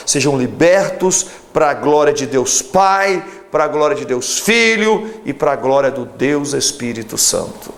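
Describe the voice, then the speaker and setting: Fervent preaching man in his forties. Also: on the high side. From Brazil. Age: 40s. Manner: fervent preaching with emphatic drawn-out phrases. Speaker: pastor. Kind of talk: televised sermon and prayer.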